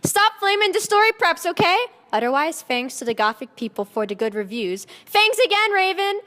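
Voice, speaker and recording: A woman's voice: very high-pitched, big pitch swings, normal speed, pushed and bright, pitched above the performer's own voice, exaggerated voice. A woman voicing a haughty, melodramatic seventeen-year-old goth vampire girl in a dramatic fan fiction reading, with emphatic teenage delivery. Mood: bratty, emphatic. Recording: echoey hall with long reverb.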